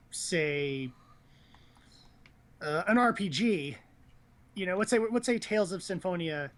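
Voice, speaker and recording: A man hosting a casual gaming chat, rambling and thinking aloud with blunt opinions. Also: fairly high pitch. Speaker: host of a gaming news show.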